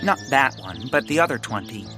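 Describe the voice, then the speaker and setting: An actor playing an earnest, pompous, bumbling bird enthusiast in a comedic period audio drama, grandiose and stammering. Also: male voice, mid-range voice, lively intonation, fairly quick, music in the background, natural voice. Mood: sheepish, earnest.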